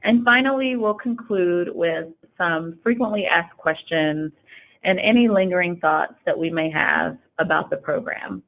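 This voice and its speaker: A woman's voice: lowish voice, measured pace, gravelly. A woman hosting a semi-scripted webinar, introducing and questioning guests as a programme director. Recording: thin phone-like line.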